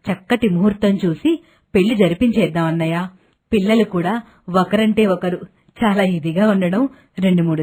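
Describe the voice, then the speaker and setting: A woman's voice: on the low side, a little mellow, husky. A woman playing a kindly, naive middle-aged village mother who talks in a chatty, affectionate way.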